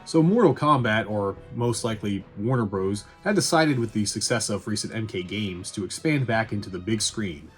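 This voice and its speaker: A man's voice: fairly low pitch, animated, moderate pace, hardly any pauses. A man doing a casual, opinionated review voice-over in a blunt, sweary way with wry asides. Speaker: video essay host reviewing movies. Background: background music.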